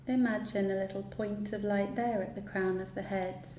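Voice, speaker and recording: A woman guiding a relaxation meditation in a soothing, unhurried manner, leaving long pauses between instructions. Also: mid-range voice, steady tone, dark tone. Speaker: yoga nidra guide. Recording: roomy echo, light background noise.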